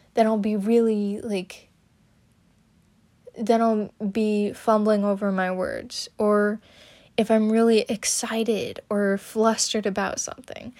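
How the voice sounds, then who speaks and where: A young woman talking about her own experience, hesitant and halting, with many fillers and restarts. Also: mid-range voice, darkish tone. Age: young adult. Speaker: student podcaster. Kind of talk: personal talk about her stutter.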